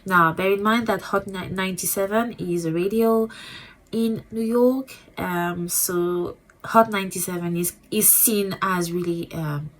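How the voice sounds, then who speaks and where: A woman with a French accent giving a casual, rambling book review as a booktuber, repeating words and filling pauses with ums. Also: medium pitch, low-quality recording.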